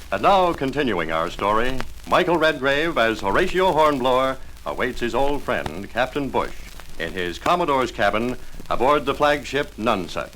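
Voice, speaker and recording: A man's voice: medium pitch, rough recording. A man announcing an old radio drama in a scripted, formal broadcast style. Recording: vintage radio broadcast recording.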